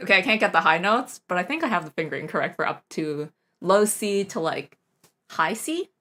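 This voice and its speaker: A woman's voice: lowish voice, lively intonation, brisk, noisy background. A young woman streaming a casual recorder lesson, rambling and self-deprecating, with frequent laughs. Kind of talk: casual live-stream chat.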